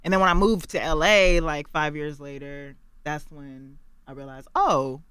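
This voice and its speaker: This is a woman from Los Angeles being interviewed on the radio. Her voice is smooth and crisp, with a bright edge, and she sounds close and dry in the booth. She tells her story candidly and with lots of life. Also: sits low, measured pace, no room sound. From Los Angeles, USA. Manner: candid, animated storytelling with laughs. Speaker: guest.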